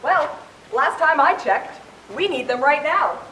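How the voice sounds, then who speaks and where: A bossy, theatrical young woman played by a stage actress moderates a mock debate with grandiose emphasis. Her high, swooping voice rings through an echoey hall in this amateur play. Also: expressive, unhurried. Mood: cocky. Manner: grandiose announcer delivery, dramatic emphasis. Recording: echoey hall, distant mic, hissy.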